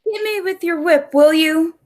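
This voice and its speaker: A woman's voice: very high-pitched, unhurried, darkish tone. A woman playing an indignant circus star in a 1940s-style radio play.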